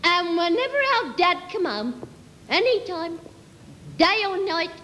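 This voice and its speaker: A woman's voice: extremely high pitch, very animated, a little slow, pushed and bright, long reverb tail, slight background noise, full character voice. A woman performing a comic monologue as a sentimental working-class Cockney, rambling and repeating earnestly while reminiscing. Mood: fond, nostalgic.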